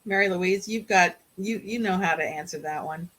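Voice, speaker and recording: Woman, moderator, bright sharp tone, conversational, questioning. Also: fairly low pitch, normal speed. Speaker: panel moderator.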